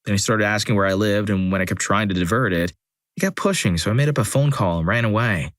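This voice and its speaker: A man with a lowish voice reads first-person stories aloud in a steady, scripted delivery. He drops in dry, wry asides between the stories. The sound is close and dead, with no room around it. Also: fast talker, no room sound. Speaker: narrator. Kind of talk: scripted story narration.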